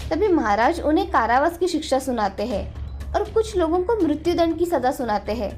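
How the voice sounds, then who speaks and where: A woman's voice: sits high, big pitch swings, brisk, a little mellow. A woman storyteller giving scripted narration of a children's fairy tale. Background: faint background music.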